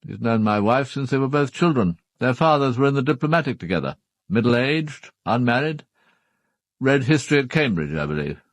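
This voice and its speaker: An actor playing a formal, upper-class British knight in a radio drama, speaking in a clipped, matter-of-fact way. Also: male voice, mid-range voice, lively intonation, moderate pace, dry recording, natural voice. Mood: brisk, businesslike. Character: formal upper-class husband, a titled knight hiring a detective.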